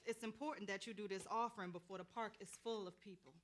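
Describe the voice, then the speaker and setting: An actress with an American accent playing a hard-bitten, impatient street spiritual reader in a staged play reading, blunt and bossy. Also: female voice, medium pitch, steady tone, fast talker, a bit bright, lightly acted. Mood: guarded, businesslike.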